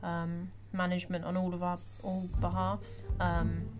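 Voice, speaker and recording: A woman's voice: on the low side, completely flat delivery, unhurried. A young woman chatting casually as a cast member, enthusiastic and supportive in the way she talks. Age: young adult. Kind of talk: casual group chat. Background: music.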